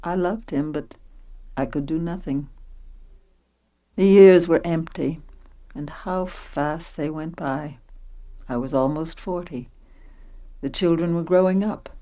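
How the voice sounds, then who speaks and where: A sorrowful woman looking back on her life as acted by a woman in a radio play. Her low voice narrates in a reflective, resigned way. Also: takes it slowly, pauses often, mellow.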